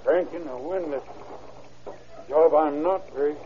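A man's voice: on the high side, unhurried. An actor playing a weary, strained man in an old-time radio crime drama. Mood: weary, grumbling. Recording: muffled, very noisy old radio recording.

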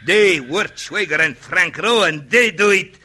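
An actor playing a villainous, boastful camel driver who speaks florid, pompous broken English in old radio western style. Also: male voice, sits high, measured pace, sharp tone, full character voice. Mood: pleading. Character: villainous Middle Eastern camel driver, boastful then cringing. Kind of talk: 1950s radio western acting. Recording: vintage radio recording, faint noise.

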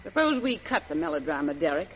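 An actress playing a defiant, mocking femme fatale in a 1930s-style radio serial, on a muffled old recording. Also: female voice, sits low, sing-song, quick pace, slightly rough, exaggerated voice. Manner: mocking, bold. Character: defiant, sardonic femme fatale standing up to villain.

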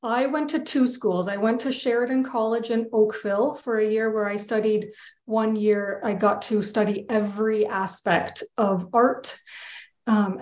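A Canadian woman speaks as a guest in a casual, candid interview. Her voice sits at an average pitch, and the recording is noticeably roomy and echoey. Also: a little mellow. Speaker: interview guest, children's book illustrator.

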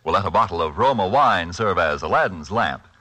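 A man's voice: low voice, expressive, a little fast, bright, forceful tone. A man narrating a scripted advertisement in a 1940s radio announcing style on an old broadcast recording. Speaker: commercial announcer. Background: faint music.

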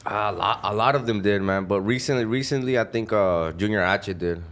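A man's voice: fairly low pitch, moderate pace, a bit bright. A young man from North Carolina, a musician guest, answering casually with hesitant fillers. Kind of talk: casual interview chat.

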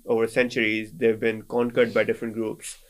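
A man's voice: lowish voice, completely flat delivery, fairly quick. A young man in his twenties from Pakistan, a native Sindhi speaker, chatting casually as a guest with lots of fillers and restarts. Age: 20s. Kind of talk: casual conversation.

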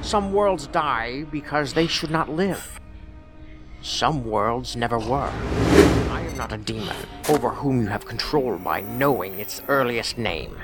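Resolute federal agent, clipped and serious, mid-range voice, heavy music bed. Sci-fi radio drama. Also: male voice, medium pitch, animated, a little slow. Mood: grim, defiant. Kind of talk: audio drama acting.

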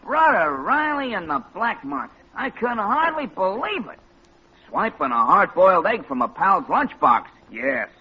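Shrill, scandalized lodge brother. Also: male voice, big pitch swings, measured pace, pushed and bright, breathy edge, heavily acted. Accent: American. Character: scandalized, self-righteous working-class lodge brother. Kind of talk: 1940s radio comedy acting. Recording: muffled old radio recording.

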